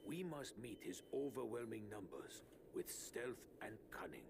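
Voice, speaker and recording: An actor voicing a calm, formal old male rat sensei who speaks with measured gravity. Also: fairly low pitch, vocal fry, noisy background, heavily acted. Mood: grave. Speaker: martial arts master and father. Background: game music.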